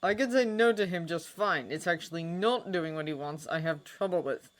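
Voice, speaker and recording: Woman doing sardonic wizard guy, growly and forceful, storybook reading. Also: on the low side, brisk, close to an everyday voice. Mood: grumbling. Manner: growling, grumbling, loud. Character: grumpy sardonic grown man, wizard.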